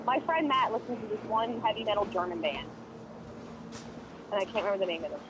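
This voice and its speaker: A woman's voice: medium pitch, animated, fairly quick, heavy background noise. A woman co-hosting a podcast, chatting casually and rambling with opinions and fillers. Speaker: podcast co-host. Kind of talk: casual chat.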